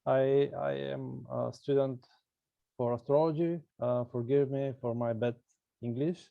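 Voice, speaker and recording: A man's voice: mid-range voice, steady tone, unhurried, vocal fry. A man from Bulgaria with a Bulgarian accent, speaking hesitantly and politely as a student on an online video call. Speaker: astrology student, session attendee. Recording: dull, lo-fi video call audio.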